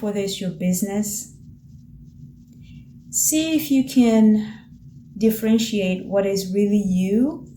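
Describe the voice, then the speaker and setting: A Tanzanian-born woman whose first language is Swahili talks through her reflections in a calm, mellow voice, pausing now and then to find her words. Her tone is gently encouraging. The room is noticeably echoey, with a faint ambient hiss underneath. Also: mid-range voice. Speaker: vlogger. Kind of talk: reflective personal talk to camera. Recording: roomy echo with faint ambient noise.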